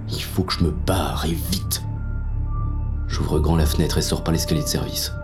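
Audio drama lead, male actor: confused, foul-mouthed fugitive, first-person narration, extremely low, creaky voice. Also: little pitch movement, normal speed, over music. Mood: panicked. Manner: crude, colloquial. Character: confused hunted man, crude and lustful, narrating his escape.

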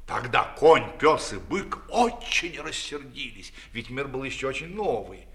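A man narrating a children's fairy tale in an expressive, theatrical storyteller's manner. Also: medium pitch, unhurried. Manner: expressive, dramatic delivery.

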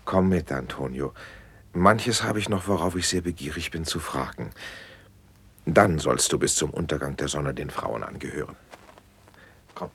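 A man's voice: very deep voice, moderate pace, pauses often, fairly bright tone, husky, full character voice. An actor playing a courteous, commanding nobleman in a classical verse radio drama.

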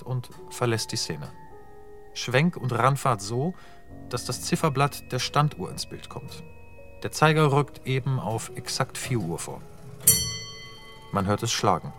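A man's voice: medium pitch, animated, unhurried, a bit bright, soft background music. A man narrating stage directions in a radio play, plain and matter-of-fact. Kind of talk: scripted narration.

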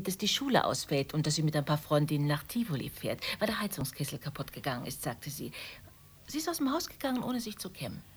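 Tired, chatty neighbour, lively pitch. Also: female voice, breathy edge, heavily acted. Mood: exasperated, rambling. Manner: rambling, confiding, apologetic. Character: tired, chatty neighbour, single mother of a teenage daughter. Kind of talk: radio play dialogue.

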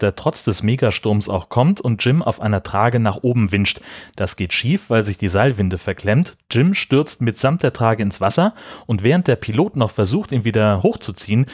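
A man's voice: mid-range voice, breathy edge, no room sound, studio quality. A man hosting a podcast, narrating a scripted summary of a film. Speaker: podcast host.

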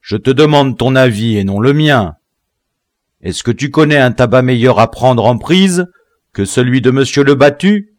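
A man's voice: fairly low pitch, big pitch swings, takes it slowly, few pauses, dry recording, clean studio sound, full character voice. A man voicing an imperious rebel general in an audiobook, haughty and severe, cruelly toying with his captives. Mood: mocking, impatient. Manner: haughty, severe, impatient, emphatic.

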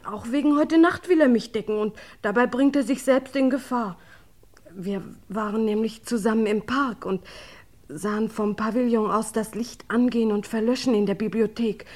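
A lovestruck young housemaid played by an actress in a radio mystery, with a high voice. She is deferential and halting, given to emotional gushing. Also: darkish tone, close to an everyday voice. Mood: lovestruck, pleading. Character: humble, tearful, lovestruck young English housemaid. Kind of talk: German radio crime play acting.

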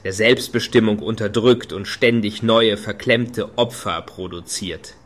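An actor playing a wry, playfully high-minded club activist in a radio play, dry and mock-solemn. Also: male voice, fairly bright tone, lightly acted. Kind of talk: German radio play acting.

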